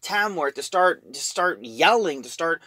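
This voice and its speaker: Male reviewer, bright and slightly high voice, informal storyteller recapping a plot. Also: animated, unhurried, husky, no echo.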